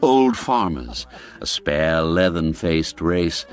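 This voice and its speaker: A man reading a classic tale aloud as a scripted narration, slow and storytelling, letting phrase endings fall away. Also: deep voice, animated. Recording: faint music behind.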